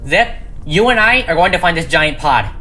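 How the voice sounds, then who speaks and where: A man's voice: fairly high pitch, expressive, moderate pace, pushed and bright, faint music behind, voice pushed up. A man voicing a brave, determined young female pony heroine in an earnest cartoon audio drama.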